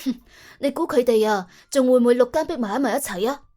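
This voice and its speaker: Narrator doing a sardonic young woman debt collector, highish voice, streetwise, full of rhetorical jabs, audio drama. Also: fast talker, warm tone, faint music behind, natural voice. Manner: colloquial, sardonic, exclamatory, argues with rhetorical questions. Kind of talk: audio drama character acting.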